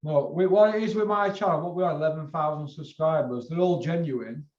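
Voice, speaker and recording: Male channel host, Northern English, higher-pitched voice, loose repetitive chat, dull roomy recording. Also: brisk, a little mellow. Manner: rambling, colloquial, sweary, repeats himself. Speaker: host of a boxing video channel. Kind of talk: casual chat.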